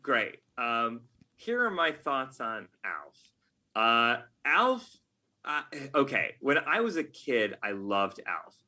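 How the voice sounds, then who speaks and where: A man's voice: medium pitch, big pitch swings, takes it slowly, sharp tone. A middle-aged man talking casually as a podcast guest, with a wry, self-mocking, nerdy enthusiasm. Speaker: podcast guest, game writer.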